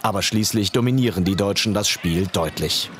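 A man's voice: fairly low pitch, moderate pace, a bit bright, a little hiss or hum. A man reading a scripted sports report voice-over in a matter-of-fact way. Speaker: news reporter.

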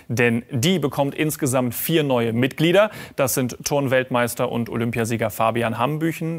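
Male news anchor, formal and measured, sports segment interviewer. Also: medium pitch.

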